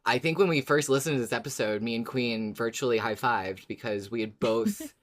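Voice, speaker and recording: A man's voice: medium pitch, a little fast. A man co-hosting a casual fan podcast, chatting in an enthusiastic, agreeable way. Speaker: podcast co-host.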